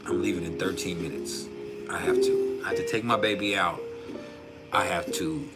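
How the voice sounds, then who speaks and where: Male livestreamer, low natural register, loose slangy delivery with blunt cursing, music underneath. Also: normal speed. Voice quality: naturally deep voice. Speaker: livestream host. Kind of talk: casual live chat with viewers.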